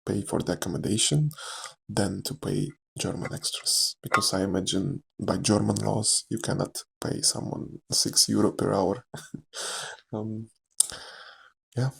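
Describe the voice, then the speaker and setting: A young man with a Slavic accent talks softly and candidly in a meandering ASMR chat, full of fillers and small laughs. His voice sits fairly low, with a heavy gravelly fry running through it and a husky, breathy edge. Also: flat delivery, a little slow, low-quality recording. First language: Czech or another Slavic language. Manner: soft-spoken, rambling and candid, with frequent fillers and quiet laughs. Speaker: actor.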